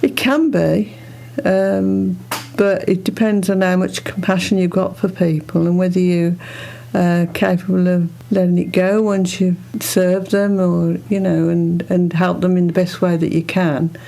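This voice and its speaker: Woman, middle-aged or older, British. Low and level, conversational interviewee. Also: flat delivery, unhurried, gravelly, dark tone. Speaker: food bank co-founder, interviewee.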